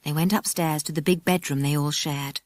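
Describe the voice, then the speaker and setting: A woman's voice: low-pitched, steady tone, normal speed, darkish tone, dry recording. A woman reading scripted narration for a children's audiobook, close to a studio microphone.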